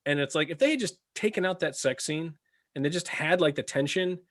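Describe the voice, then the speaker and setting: A man co-hosting a casual review discussion, talking in a halting but emphatic, conversational way. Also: fairly high pitch, dry recording, clean studio sound. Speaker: podcast host.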